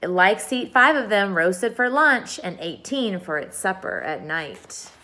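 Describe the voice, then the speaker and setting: A woman reading aloud as a grinning, boastful male centipede, chanting rhymes with a lively singsong delivery. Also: mid-range voice, a little slow, a little hiss or hum. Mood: gleeful.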